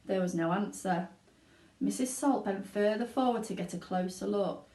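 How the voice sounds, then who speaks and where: A woman narrating a children's storybook aloud in an expressive reading style. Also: mid-range voice, moderate pace. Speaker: teaching assistant reading aloud. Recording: echoey room.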